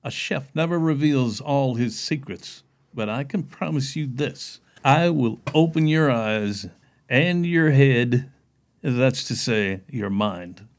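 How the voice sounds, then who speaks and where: A man's voice: mid-range voice, lively intonation, slow pace. A man playing a secretive, controlling celebrity chef with sinister charm in a readers theater audio play. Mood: coaxing, evasive.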